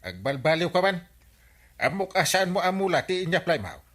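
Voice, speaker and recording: A man's voice: high voice, very animated, normal speed, fairly bright tone, heavily acted. A man with an Ilocano Filipino accent playing a scolding, teasing village captain in a radio comedy drama.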